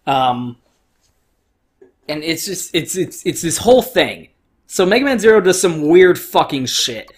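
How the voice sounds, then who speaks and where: A man's voice: a little high, sing-song, a little slow, husky. A man giving casual, opinionated gameplay commentary, swearing freely and stressing his points. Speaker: let's play host.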